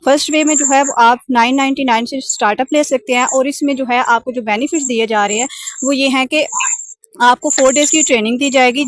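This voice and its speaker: A woman's voice: a little high, no room sound, poor audio. A woman explaining an offer as a sales coach on a call, wordy and instructive, mixing in many English terms. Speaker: sales coach pitching an online training course.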